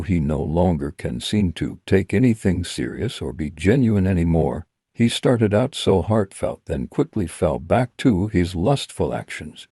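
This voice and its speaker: A man reading a scripted commentary voice-over in a measured, critical tone. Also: sits low, warm tone, breathy edge, no room sound, studio quality. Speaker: commentary channel host.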